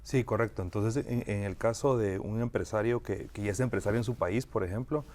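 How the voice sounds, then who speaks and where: A man from Guatemala, an immigration lawyer, answering interview questions in a measured way with frequent fillers and restarts. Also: fairly low pitch, darkish tone.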